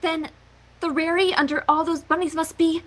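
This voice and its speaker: A woman's voice: very high-pitched, fairly even intonation, a little fast, a loud crowd. A woman voicing a precise, bookish young female pony in a fan fiction reading. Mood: indignant, amused.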